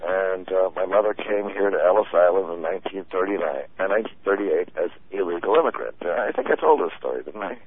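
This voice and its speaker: A man's voice: deep voice, lively intonation, fast talker, hardly any pauses, slight background noise. A man who grew up speaking German, chatting casually as a guest, rambling with many fillers and laughs. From United States. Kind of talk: casual chat. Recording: tinny phone-line sound.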